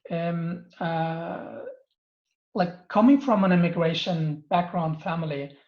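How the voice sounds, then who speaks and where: A man's voice: a little high, slow pace, reverberant, dull audio. A man, answering interview questions with many ums and restarts. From Germany. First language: Turkish and German. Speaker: venture capital partner, guest.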